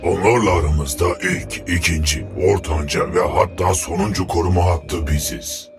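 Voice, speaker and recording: Very deep pompous secret agent. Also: male voice, normal speed. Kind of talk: dubbed cartoon acting. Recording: clear music behind the voice.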